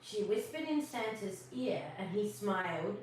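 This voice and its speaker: A woman reading a children's story aloud as host, expressive, drawing out her words. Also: medium pitch. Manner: expressive, drawn-out words, questions to listeners. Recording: very echoey, distant mic, faint noise.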